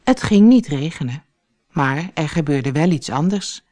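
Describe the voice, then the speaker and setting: A woman narrating a children's fairy tale as an audiobook reader, in a deliberate storytelling manner. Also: deep voice, lively intonation, warm tone.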